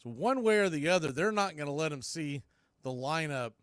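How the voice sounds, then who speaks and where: A man calling live baseball play-by-play in punchy short phrases, getting excited on big plays. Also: on the high side, a little fast, smooth, no room sound, studio quality.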